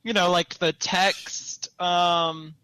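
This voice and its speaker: A man's voice: high-pitched, level tone, very slow, sharp tone, clear, resonant tone, no room sound, rough recording. A man speaking as a podcast guest in casual, teasing, giggly banter.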